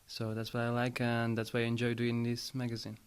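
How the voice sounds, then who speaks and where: Very monotone, clear-voiced young man. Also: medium pitch, brisk. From Bulgaria. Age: young adult. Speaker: student multimedia producer, interview guest. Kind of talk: self-introduction in an interview.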